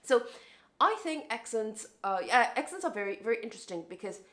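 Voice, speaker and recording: A woman's voice: a little high, sing-song, a little fast, a bit bright. Woman with a light Singaporean accent. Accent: lightly Singaporean English, internationally smoothed. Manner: chatty, with frequent restarts and self-corrections. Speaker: vlogger. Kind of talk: casual reflective monologue.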